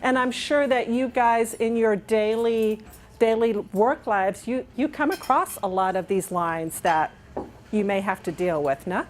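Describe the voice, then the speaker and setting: A middle-aged woman from England, with no accent left, giving a lively, chatty clinical talk to a room of nurses. Her voice sits a bit high, and she keeps turning to the audience for agreement. Faint room ambience sits behind her, picked up from a camera across the room. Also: measured pace, a little hiss or hum. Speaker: nurse educator. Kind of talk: conference presentation. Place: dining room with large windows.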